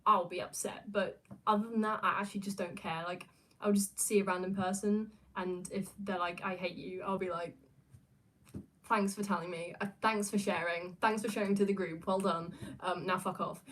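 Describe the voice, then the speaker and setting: A woman's voice: medium pitch, fairly even intonation, moderate pace, fairly bright tone, rough recording. A young woman from New Zealand with an English accent, chatting casually and rambling through viewer comments with lots of fillers and restarts. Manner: rambling, self-deprecating, frequent fillers and restarts. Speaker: YouTuber reading viewer comments. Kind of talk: casual chat.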